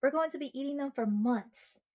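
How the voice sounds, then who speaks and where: High-voiced, sheepish sweet girlfriend played by a woman. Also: darkish tone, close to an everyday voice. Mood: playful, earnest. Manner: chatty, halting, bashful with dramatic mock seriousness. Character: sweet sheepish girlfriend, earnest, playfully self-mocking. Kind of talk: ASMR girlfriend roleplay, acted monologue to the listener.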